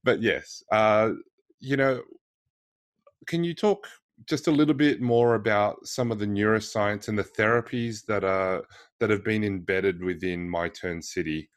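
Male interviewer, deep voice, conversational, encouraging and hesitant in phrasing, very dry recording. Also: unhurried, no echo.

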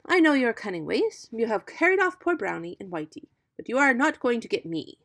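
A woman's voice: sits high, animated, takes it slowly, darkish tone, breathy edge, pitched above the performer's own voice, heavily acted. A woman reading a storybook aloud, voicing a brave little male pig who firmly refuses the fox. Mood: defiant. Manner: firm, defiant.

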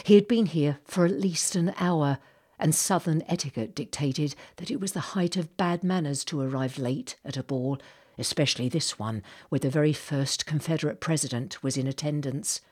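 Slightly low-voiced woman narrating an audiobook. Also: moderate pace, darkish tone, husky. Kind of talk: scripted fiction narration.

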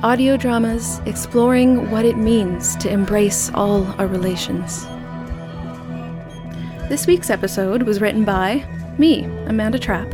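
Female announcer, medium pitch, scripted show introduction with music underneath. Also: unhurried. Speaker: announcer and writer. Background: theme music.

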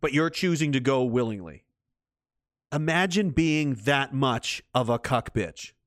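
A man's voice: medium pitch, animated, a little slow, no room sound, studio quality. A man hosting a livestream, rambling in casual, heated and profane commentary. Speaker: livestream host.

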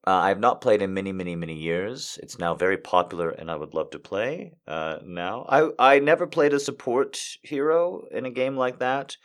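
A man's voice: on the low side, moderate pace, hardly any pauses. A man, a voice actor, answering viewer questions in a rambling, self-deprecating way. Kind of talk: casual chat.

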